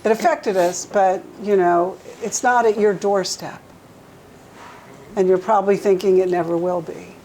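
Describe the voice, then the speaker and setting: A woman's voice: medium pitch, fairly even intonation, a little slow, mellow, a little hiss or hum, poor audio. A woman hosting an interview, asking chatty, leading questions with frequent restarts. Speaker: talk show host. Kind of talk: conversational interview.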